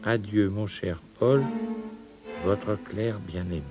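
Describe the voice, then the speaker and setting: Guy doing a sad young woman from a storybook, gentle teary voice, raised pitch, slow. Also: steady tone, music in the background. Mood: sad farewell. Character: sorrowful young woman reading a farewell letter. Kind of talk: storybook dramatization.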